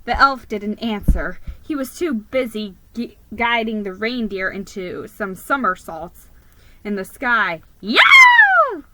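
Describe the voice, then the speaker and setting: A woman giving a theatrical, expressive dramatic reading of a children's story. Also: mid-range voice, a little slow, a little hiss or hum, poor audio.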